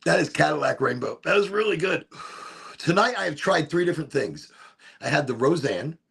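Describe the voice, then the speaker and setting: Gravelly-voiced man rambling off topic. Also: animated, moderate pace, a bit bright. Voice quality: deep, gravelly voice. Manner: rambling, wanders off topic, breaks into off-key singing. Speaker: host of a smoke session. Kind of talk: rambling casual monologue.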